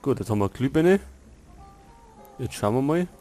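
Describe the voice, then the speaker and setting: A man's voice: medium pitch, animated, moderate pace, dark tone, soft background music. A man with a Bavarian accent making laid-back, short asides as a let's play host. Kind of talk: casual gameplay commentary.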